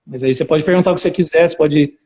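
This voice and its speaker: A man's voice: on the high side, racing, darkish tone. A talkative man of around forty with an interior São Paulo Brazilian accent, telling his own story casually as a researcher being interviewed on a live video call. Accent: interior São Paulo Brazilian Portuguese. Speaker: academic researcher, interview guest.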